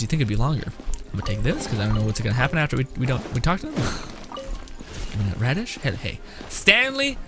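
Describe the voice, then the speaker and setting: A man's voice: fairly high pitch, pushed and bright. A man giving casual, rambling gameplay commentary as a streamer, swearing freely and mocking as he goes. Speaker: video game streamer.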